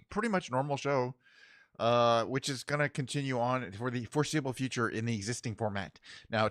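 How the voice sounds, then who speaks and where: A man's voice: medium pitch, fairly bright tone, no echo, studio quality. A middle-aged man hosting his own livestream, chatting casually as he signs off, rambling and correcting himself, his voice a little worn. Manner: rambling, self-correcting, muttered asides. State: voice a bit worn from travel. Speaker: livestream host. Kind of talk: casual stream sign-off chat.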